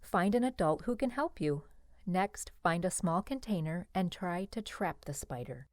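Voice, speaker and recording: A woman narrates an educational children's book in a fairly low voice. Her read-aloud phrasing is simple and clear, and the sound is very dry, with no room around it. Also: animated, normal speed, darkish tone, dry recording.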